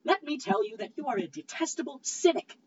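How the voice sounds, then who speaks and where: A woman playing a proud, quick-tempered lady in a cold read of a comic play, theatrical and self-satisfied. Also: high voice, animated, darkish tone. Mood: scornful. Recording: dull recording with faint background noise.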